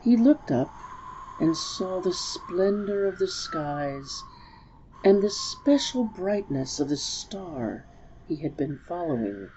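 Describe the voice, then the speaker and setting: A woman narrating a storybook as a dramatic reading, measured and expressive. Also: low-pitched, slight background noise, low-quality recording.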